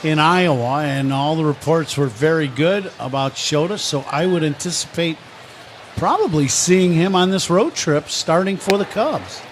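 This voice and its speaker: A man's voice: fairly high pitch, normal speed, faint music behind. A man giving color commentary and analysis on a live radio baseball broadcast. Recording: faint ballpark ambience behind the voice. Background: ballpark ambience.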